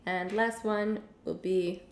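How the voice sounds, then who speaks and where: A young woman teaching a casual music lesson at home, hoarse from illness, chatty with many ums and the odd cough. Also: mid-range voice, steady tone, slow, reverberant, a little hiss or hum. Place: home room with piano and guitars.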